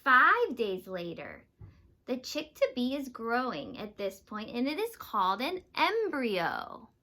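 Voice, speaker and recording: A woman speaks as a teacher reading aloud to young pupils. Her voice is fairly high and very bright, with animated swings in pitch. She explains things in simple terms and often puts questions to the children. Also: slow pace. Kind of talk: children's read-aloud lesson.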